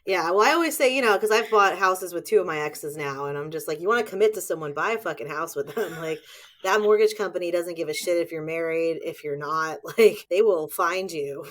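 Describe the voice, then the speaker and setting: A woman's voice: lowish voice, fairly quick. A woman hosting a podcast, asking direct questions bluntly, swearing freely and laughing. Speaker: podcast host. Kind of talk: casual conversational interview.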